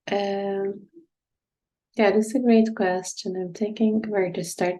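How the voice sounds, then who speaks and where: A woman's voice: medium pitch, mellow, poor audio. A middle-aged woman from Turkey with a Turkish accent, a guest author answering an academic question in a reflective way, with many fillers and hedges.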